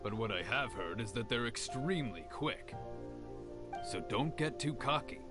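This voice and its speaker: A disciplined, humble male fighter from a video game, acted by a man. He is earnest and plain-spoken, with animated pitch movement, over clear background music. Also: moderate pace, close to an everyday voice. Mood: calm.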